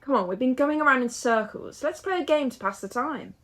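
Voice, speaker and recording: A woman's voice: high voice, animated, fairly quick, fairly bright tone. A woman acting in an audio drama as a restless, hopeful young woman who chats in a musing, playful way. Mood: upbeat, coaxing.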